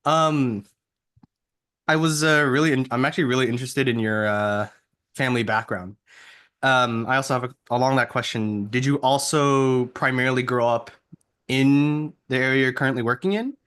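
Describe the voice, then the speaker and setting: A man joining an interview late, asking questions in a casual, halting way. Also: medium pitch, fairly even intonation, a bit bright, clear, resonant tone.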